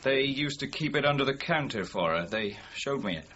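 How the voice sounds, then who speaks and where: A man's voice: mid-range voice, lively intonation, a little fast, a bit bright, close to an everyday voice. Man acting a deferential British police officer, slightly muffled. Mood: matter-of-fact. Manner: deferential, matter-of-fact. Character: male police subordinate, deferential, matter-of-fact reporter. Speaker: police officer reporting to his chief. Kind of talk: British radio crime drama acting. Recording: dull lo-fi radio recording, faint noise.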